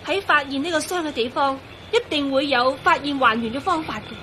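High-pitched anxious young Cantonese woman acted by a woman. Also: a little fast, background noise, close to an everyday voice. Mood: earnest, insistent. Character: anxious, devoted young woman turned transparent, quick to reason. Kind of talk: radio drama acting.

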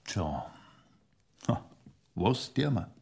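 A deliberate Bavarian village sergeant in a radio play. His voice is low and husky, moving up and down a lot as he reports hesitantly. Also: big pitch swings, darkish tone, exaggerated voice. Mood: puzzled. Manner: deliberate, matter-of-fact. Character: hesitant, plodding male village police sergeant. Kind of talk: radio drama acting.

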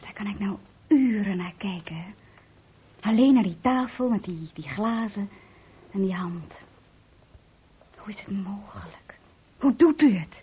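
An actress playing an earnest, respectful young painting pupil in an old radio play. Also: female voice, mid-range voice, expressive, unhurried, long pauses, close to an everyday voice. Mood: admiring. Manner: respectful, wondering. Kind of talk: old radio drama acting. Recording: tinny, noisy old radio play recording.

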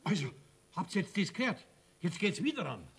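An actor playing a nervous, nosy friend with a Bavarian accent in a children's radio play, creaky and dramatically agitated. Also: male voice, high-pitched, sing-song, moderate pace, full character voice.

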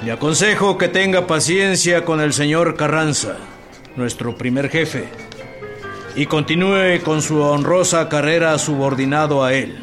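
A fairly high male voice as a cautious, courteous general. He delivers a formal, measured letter in radio-play style over prominent music. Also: fairly bright tone. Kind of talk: radio drama acting.

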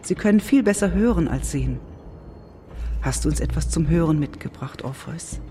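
A man playing a weary, coaxing dweller of the underworld in a German radio play, measured and knowing. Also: on the high side, lively intonation, dark tone, natural voice. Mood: wistful, explaining.

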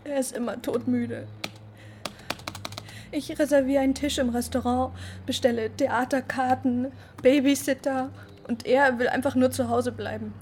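A shaken, hushed mother. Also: sits high, fairly even intonation, a little hiss or hum. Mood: bitter. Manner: tense, hushed, halting. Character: distraught mother, whispering in shock. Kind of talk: radio drama acting.

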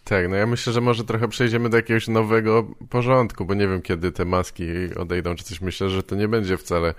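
A man's voice: on the low side, little pitch movement, brisk, dry recording. A man hosting a podcast in a casual, tentative way, hedging and trailing off into short tag questions. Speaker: podcast host. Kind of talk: casual conversational podcast talk.

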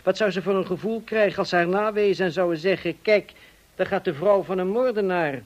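An actor in a radio play playing a smug, insinuating blackmailer with a mocking, drawling, falsely polite manner. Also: male voice, sits high, a little fast, muffled recording, natural voice. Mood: sly. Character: smug, insinuating male blackmailer, cousin of the dead woman.

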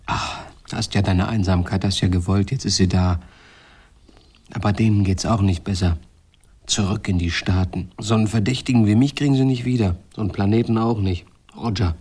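Lonely emotional man, husky voice, halting and earnest, radio drama. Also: lowish voice, darkish tone. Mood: bitter despair. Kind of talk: German radio play acting.

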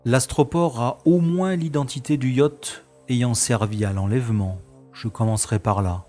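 An actor playing a brisk, self-assured and sarcastic special agent in a science-fiction audio drama. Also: male voice, mid-range voice, dark tone, faint music behind, close to an everyday voice. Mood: inquisitive. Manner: sarcastic, commanding.

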